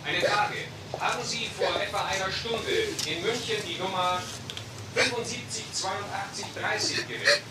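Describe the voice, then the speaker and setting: A man playing a businesslike police detective in a radio crime play, questioning briskly and methodically. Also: sits high, lightly acted. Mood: brisk, inquiring. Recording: noisy, roomy, distant old recording.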